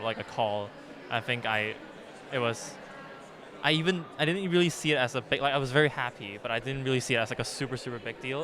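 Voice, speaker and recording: A young man from Hong Kong whose first language is Cantonese, answering interview questions thoughtfully with many fillers and restarts. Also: mid-range voice. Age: young adult. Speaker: poet, interview guest.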